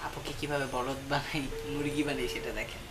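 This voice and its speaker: A man's voice: mid-range voice, flat delivery, a little fast, over loud music. A young man with a Bangladeshi accent chatting casually in slang with bits of English, as a prank caller. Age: young adult. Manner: slangy, mixes in English words. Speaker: prank caller and channel host. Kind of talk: casual prank phone call chat. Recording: noisy amateur phone-call recording.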